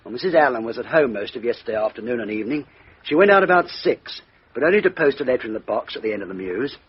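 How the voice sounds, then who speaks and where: A man's voice: medium pitch, animated, fast talker, slightly rough. An actor playing a respectful, methodical junior British policeman giving clipped reports in a radio drama. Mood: methodical, reporting. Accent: British English. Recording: dull, band-limited radio drama recording.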